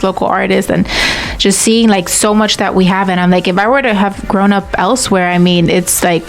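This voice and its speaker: A woman's voice: mid-range voice, clean tone. Young woman, hosting a loose chat, talks in run-on sentences with plenty of "like". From Miami. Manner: chatty, rambling, peppered with "like" and "you know". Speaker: podcast host. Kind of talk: casual interview chat.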